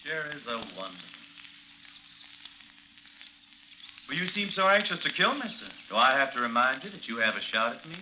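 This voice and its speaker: A man acting in a 1950s radio drama as a fearless, nonchalant gentleman duelist who speaks with sardonic courtesy. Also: mid-range voice, sing-song. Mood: taunting. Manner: sardonic, unhurried, mock-courteous. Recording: noisy 1950s radio broadcast recording.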